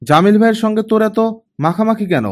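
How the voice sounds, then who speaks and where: A man's voice: high-pitched, animated, fast, a little mellow, pitched above the performer's own voice. A man voicing a stern, guarded young woman, an elder sister with a curt, admonishing manner, in an audiobook story. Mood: stern, reproachful.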